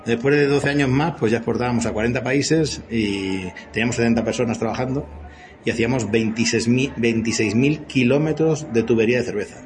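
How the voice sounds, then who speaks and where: A man's voice: mid-range voice, a little fast, noisy background. A man in his fifties from Spain with a Spanish accent, explaining things conversationally as an interview guest in a wordy, hesitant manner. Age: 50s. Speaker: interview guest, company CEO.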